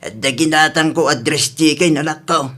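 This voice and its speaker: A man's voice: fairly high pitch, normal speed, sharp tone. A man playing a fretful older father. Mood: defensive. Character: worried, grumbling older father of grown children. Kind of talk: radio drama acting.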